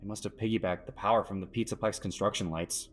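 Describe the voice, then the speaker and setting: A man's voice: fairly low pitch, fairly even intonation, fast talker, lightly acted. A man playing a puzzled, practical teenage boy exploring eerie ruins in an audio horror drama.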